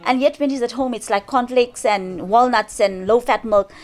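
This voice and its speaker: A woman's voice: a little high, moderate pace. A middle-aged woman, chatting in an interview about her son's cooking and diet, seated in an indoor lounge. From South Africa. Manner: chatty, animated, with frequent restarts and hand gestures. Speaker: interviewee, cricketer's mother. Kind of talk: casual interview chat.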